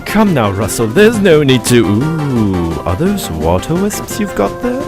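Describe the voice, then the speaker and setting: A man's voice: fairly high pitch, wildly swooping pitch, measured pace, full character voice. A man voicing a clever, smug scholar with a pedantic, drily sarcastic manner, in a comedic fan dub. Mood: amused lecturing. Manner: sardonic, pedantic, articulate. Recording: music behind the voice.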